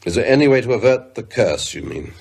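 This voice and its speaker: A man playing a pedantic, grave scholar in a radio drama, speaking in a husky, hesitant, deliberate way. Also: medium pitch, lively intonation, a little mellow. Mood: matter-of-fact. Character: pedantic, grave male scholar delivering grim findings.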